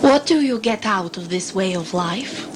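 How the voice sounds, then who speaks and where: Clear background noise sits behind a woman acting as a determined traveller in a sci-fi audio play. Her mid-pitched voice is guarded, formal and curt. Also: expressive, measured pace, natural voice. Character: determined, guarded female client on a jungle expedition. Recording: noisy amateur recording with background ambience.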